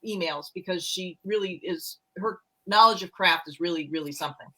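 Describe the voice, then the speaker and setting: A woman's voice: fairly low pitch, a little fast, bright, forceful tone, low-quality recording. A middle-aged woman, a romance author and co-host, chatting candidly and a little self-deprecatingly about writing books. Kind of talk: casual book chat.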